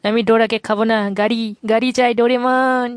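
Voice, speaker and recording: A woman's voice: a little high, little pitch movement, fast talker, clean tone, close to an everyday voice. A woman voicing a timid, whiny schoolboy who pleads and complains in a cartoon dub. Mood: pleading, whiny.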